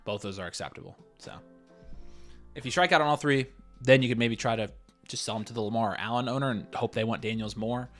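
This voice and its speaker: A man's voice: mid-range voice, fairly quick, fairly bright tone, soft background music. A man co-hosting a casual livestream, chatty and reassuring, giving advice with plenty of fillers. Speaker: co-host.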